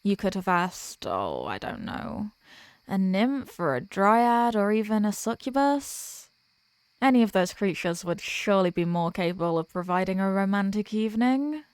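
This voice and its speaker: A worldly, wry archdemoness , acted by a woman, speaks in a medium-pitched voice with coy, smug formality. She teases and flirts in the style of an intimate roleplay audio drama. The sound is dry, with no room around it. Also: sing-song, normal speed, clear, resonant tone, dry recording, lightly acted. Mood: curious, bargaining.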